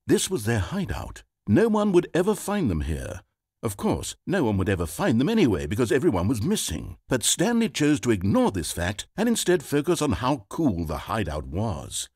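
An actor playing the fussy, needy male narrator of an office video game, swinging between pleading, wry sarcasm and giddy excitement. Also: mid-range voice, slightly rough.

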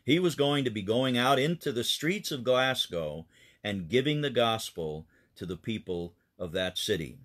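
A man's voice: medium pitch, expressive, moderate pace, fairly bright tone. A man, a pastor, telling a children's missionary story in an earnest, explanatory way.

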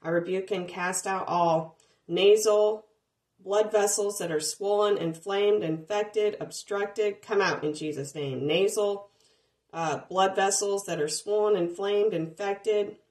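A woman speaking a deliverance prayer, rebuking sickness in a steady, repetitive, commanding litany while reading from handwritten notes. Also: mid-range voice, slow. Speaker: deliverance minister.

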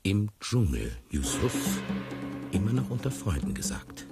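Radio play casting: controlling tiger tamer, very low, dramatic intonation, argumentative. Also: male voice, very animated, unhurried, vocal fry, over loud music, heavily acted. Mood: uneasy reasoning.

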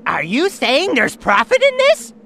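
A man's voice: extremely high pitch, huge pitch swings, unhurried, gravelly, sharp tone, a little hiss or hum, heavily acted. A man voicing a cocky young male bird gang leader in a video game, smug and flippant. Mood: interested, annoyed. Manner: smug, flippant, self-assured. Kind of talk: video game cutscene acting.

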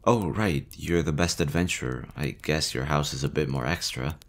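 A man voicing a nervous, gentle young male slime monster in an audio roleplay, talking softly and hesitantly. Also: a bass voice, moderate pace. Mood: chatty. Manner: soft, hesitant, chatty.

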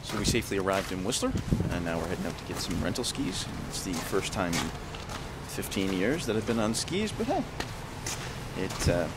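Male photographer vlogging, lower voice, wry self-mocking chat, camera mic, heavy outdoor noise. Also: sing-song, normal speed. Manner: joking, self-mocking, chatty. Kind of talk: casual behind-the-scenes vlog. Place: outdoors on a ski mountain. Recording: loud outdoor noise behind voice.

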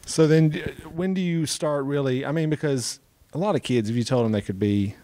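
A man's voice: medium pitch, a little fast, dark tone. A man hosting a podcast, asking questions in a casual, conversational way. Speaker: podcast host, interviewer.

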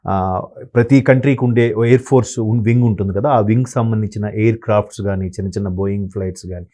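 A man speaking conversational commentary as an analyst guest, hesitant and often pausing to search for words. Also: fairly low pitch, fairly even intonation, warm tone. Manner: hesitant, many fillers and word-searching pauses.

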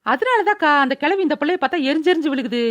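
A woman as a fast, sassy village gossip. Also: high voice, animated. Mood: gossipy indignation. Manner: teasing, sharp-tongued. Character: sassy, gossipy young female friend. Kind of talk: cartoon voice-over dialogue.

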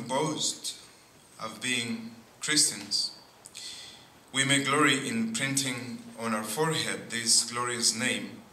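A middle-aged man, a priest giving a sermon at a pulpit, speaking in slow, deliberate phrases with pauses and frequent asides of "see". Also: mid-range voice, sharp tone. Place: church sanctuary at a pulpit. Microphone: boom mic at pulpit. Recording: echoey church with faint noise.

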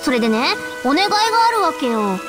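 Very high-pitched brash teenage girl. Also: brisk, loud music behind the voice. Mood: cheeky, evasive. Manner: theatrical, mouthy, excitable. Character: brash, hot-tempered, cheeky teenage girl. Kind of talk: Japanese anime-style game voice acting.

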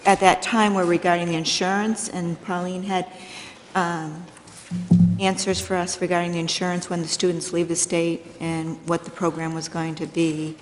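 Even-toned, lowish woman in meeting hall. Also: normal speed, faint music behind. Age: middle-aged. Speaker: school board member. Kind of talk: meeting discussion. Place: indoor council chamber. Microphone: gooseneck microphone on dais. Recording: reverberant meeting hall, faint noise.

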